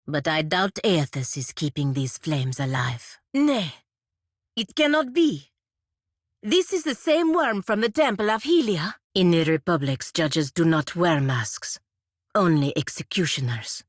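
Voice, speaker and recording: A woman's voice: low-pitched, lively intonation, no room sound. A woman playing a stern, proud feathered warrior in a fantasy video game, speaking formally and measuredly with dry sarcasm. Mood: wry, uneasy, then disbelieving. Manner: formal, measured, dryly sardonic, avoids contractions. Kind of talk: scripted video game companion voice lines.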